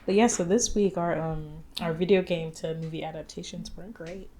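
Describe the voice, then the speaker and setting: A young woman talks loosely on a podcast, with plenty of fillers and the odd swear word. Her voice sits a little low. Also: steady tone, fairly quick, mellow. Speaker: podcast co-host. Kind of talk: casual chat reviewing movies.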